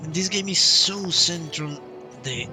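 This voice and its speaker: A man's voice: fairly high pitch, unhurried. A man musing aloud as he gives live commentary on a video game playthrough. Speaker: game streamer. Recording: distant-sounding mic, game music behind. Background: video game music.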